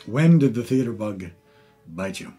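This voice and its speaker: A middle-aged man with a husky voice hosting a conversational interview in a studio. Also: fairly low pitch, lively intonation, unhurried, dark tone, soft background music. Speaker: host and interviewer. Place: recording studio.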